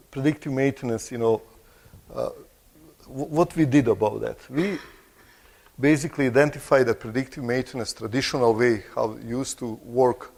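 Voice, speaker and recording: Gravelly-voiced Croatian man presenting in a hall. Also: mid-range voice, a little mellow. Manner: halting, restarts phrases, clears throat often. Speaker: conference presenter. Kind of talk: conference presentation. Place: large conference hall. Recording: long hall reverb.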